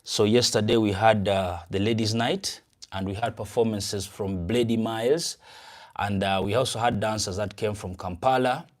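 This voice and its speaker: A man's voice: fairly low pitch, fairly even intonation, moderate pace. A young man with a Ugandan English accent, hosting a casual livestream, greeting viewers and repeating phrases in an upbeat way. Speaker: channel host and event promoter.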